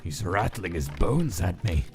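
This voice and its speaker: A man voicing a detached male scientist who narrates his observations in a clinical, measured way. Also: deep voice, big pitch swings, a little hiss or hum, exaggerated voice. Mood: watchful. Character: cold calculating male scientist studying his subjects. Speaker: narrator of a comic dub. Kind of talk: inner-monologue narration.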